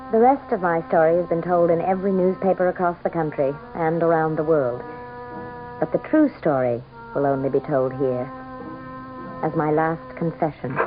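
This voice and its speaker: A woman's voice: low voice, moderate pace, natural voice. An American woman playing a scheming, seductive and ambitious governor's wife in a theatrical vintage radio drama. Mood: bitter confession. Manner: theatrical, coy, calculating. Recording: muffled vintage radio broadcast, music behind.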